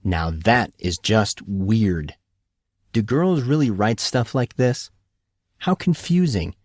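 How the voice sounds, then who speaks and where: A man's voice: fairly low pitch, lively intonation, slow pace, no room sound, studio quality. A man voicing a puzzled teenage boy in an audiobook, wondering aloud informally. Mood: baffled.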